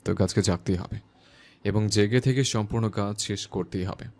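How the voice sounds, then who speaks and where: A man's voice: on the low side, moderate pace, a little mellow, natural voice. A man playing a lovestruck, stubborn young teacher who talks intensely to himself in an audio story. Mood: self-scolding. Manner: intense self-talk, scolding and urging himself. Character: lovestruck, stubborn young male teacher driving himself through a sleepless night. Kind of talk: dramatised audio story acting.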